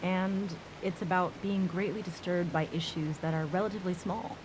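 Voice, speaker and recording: A woman playing a calm, discerning critic in a game audio log, speaking plainly and with consideration. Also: lowish voice, moderate pace, natural voice. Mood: calm, critical. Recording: hissy, rain-like background noise.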